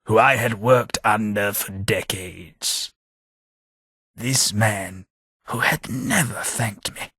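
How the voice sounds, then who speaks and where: A forgetful older gentleman. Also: fairly low pitch, husky, no room sound, clean studio sound, exaggerated voice. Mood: reflective. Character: forgetful older English gentleman companion, weary and reflective. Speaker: game companion character. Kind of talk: video game voice acting.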